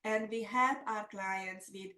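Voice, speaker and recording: A woman's voice: medium pitch, fairly even intonation, slow, bright, forceful tone. A middle-aged woman with a Hungarian accent, presenting a semi-scripted business webinar as a coach. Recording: roomy, echoey sound.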